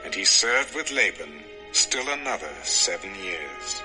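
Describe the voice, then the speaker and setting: A man's voice: deep voice, sing-song, unhurried, over loud music, low-quality recording. A man narrating scripture from a script in a dramatic storytelling style.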